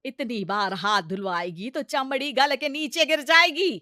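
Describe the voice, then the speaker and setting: Woman voicing a sharp, bossy village mother-in-law. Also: high-pitched, unhurried, no room sound, studio quality. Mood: defiant. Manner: loud, belligerent, theatrical. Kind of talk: acted comic family story dialogue.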